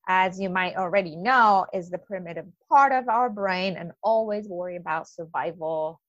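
A woman's voice: fairly low pitch, moderate pace, fairly bright tone, no room sound, dull audio. A woman in her forties from Japan with a Japanese accent, giving a coaching webinar with many hesitations and eager asides. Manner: hesitant, many ums, enthusiastic. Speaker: life coach presenter. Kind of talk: webinar presentation.

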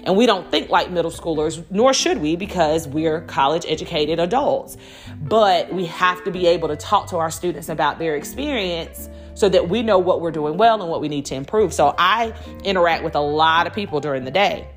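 A middle-aged woman hosting a solo podcast, talking conversationally and telling stories in a chatty, animated way with quoted asides. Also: lowish voice, moderate pace, soft background music. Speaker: podcast host.

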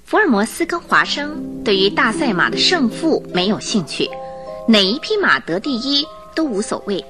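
A woman narrating a scripted radio drama in an expressive storytelling manner. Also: on the high side, moderate pace, music in the background.

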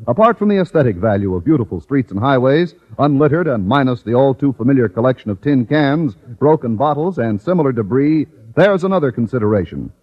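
A man's voice: medium pitch, big pitch swings, fairly quick, few pauses. An American man announcing in an earnest, emphatic, scripted 1950s radio style, as for a public service message. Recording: muffled old radio broadcast.

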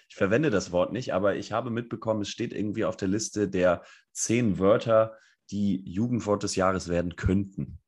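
Hoarse, low-voiced man with a cold. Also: normal speed, a little mellow. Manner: joking, laid-back banter. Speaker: podcast co-host. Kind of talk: casual chat.

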